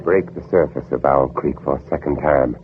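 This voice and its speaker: An actor playing an anguished Southern gentleman planter in theatrical, dramatic old-time radio style, sometimes narrating his own ordeal. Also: rumbling low, moderate pace, a little hiss or hum. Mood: tense, haunted. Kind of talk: 1940s-50s radio drama acting. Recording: old radio, dull narrow band, music behind.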